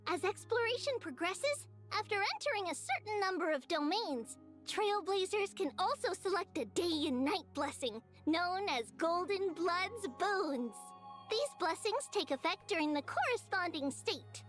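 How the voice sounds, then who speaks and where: A tiny, eager game mascot, with an extremely high, childlike voice. The delivery is bubbly and emphatic, like a cute guide presenting new features over faint music. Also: female voice, lively intonation, a little slow, fairly bright tone, full character voice. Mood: bright, explanatory. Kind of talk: scripted game update narration.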